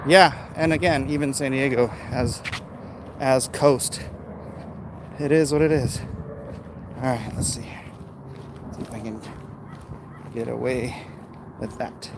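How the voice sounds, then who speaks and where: An American man with a mid-pitched voice chats casually while walking outside. Clear traffic and street noise sits behind him. His talk rambles loosely, as if answering viewers one comment after another. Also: slow, long pauses. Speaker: livestream host. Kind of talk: casual walking livestream chat. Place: outdoors, walking along neighborhood streets. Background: urban outdoor ambience, vehicles.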